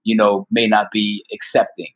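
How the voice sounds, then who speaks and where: A man's voice: fairly low pitch, little pitch movement, normal speed. Earnest man in his fifties telling his story. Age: 50s. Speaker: guest storyteller. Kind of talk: personal storytelling in a conversational interview.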